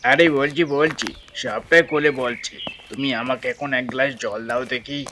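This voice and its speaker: A man's voice: mid-range voice, fairly even intonation, a little slow, pushed and bright, a little hiss or hum. A man voicing a poor, greedy village husband and bird catcher in a cartoon story, eager and emphatic. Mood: placating.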